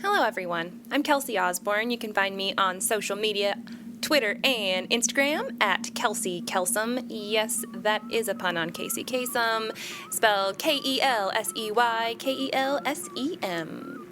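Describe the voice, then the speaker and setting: A woman's voice: fairly high pitch, very animated, a little slow, over music. A woman, a role-play show player, casually introducing herself to listeners. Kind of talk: casual sign-off chat. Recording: clear background noise.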